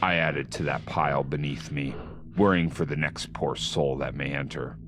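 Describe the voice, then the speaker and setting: An actor playing a grim, vengeful vigilante who narrates a video game in a hard-boiled, deadpan, menacing style. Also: male voice, a bass voice, normal speed. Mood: deadpan, solemn. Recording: music under the voice.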